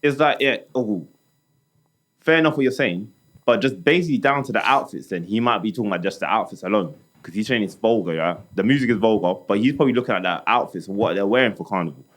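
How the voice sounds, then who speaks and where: A man co-hosting a casual podcast chat, animated and talking over others. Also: lowish voice, quick pace. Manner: animated, talks over others, street slang. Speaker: podcast co-host.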